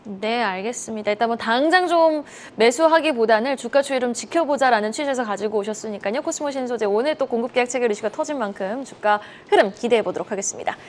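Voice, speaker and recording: Brisk, higher-pitched female news anchor. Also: slight background noise. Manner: polite, formal. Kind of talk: broadcast presenting.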